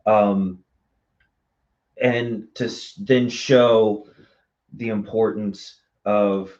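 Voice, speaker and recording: A man speaking earnestly and haltingly as a theater guest in a casual interview. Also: fairly low pitch, fairly even intonation, darkish tone. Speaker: theater company member and guest. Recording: dull, lo-fi sound.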